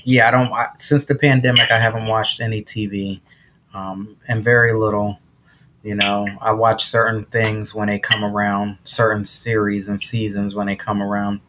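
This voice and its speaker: Low-voiced man hosting a podcast. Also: normal speed, background noise. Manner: relaxed, encouraging, lots of affirming mm-hmms. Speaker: podcast host. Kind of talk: podcast interview.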